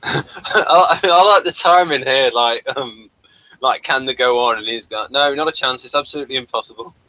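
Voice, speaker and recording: Bright-voiced man chatting through a casual review. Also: medium pitch, dry recording, low-quality recording. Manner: halting, rambling delivery. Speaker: host of a TV review talk.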